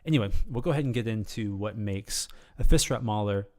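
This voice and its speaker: A man with a lowish, slightly scratchy voice that sounds like it is wearing out. He explains a game in a loose, unscripted ramble, stopping and restarting his sentences often. His delivery stays easygoing and conversational. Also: brisk. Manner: rambling, hesitant, full of ums and restarts; relaxed, casual explaining. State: slightly hoarse, losing his voice. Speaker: game guide video creator. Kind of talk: unscripted game tutorial commentary.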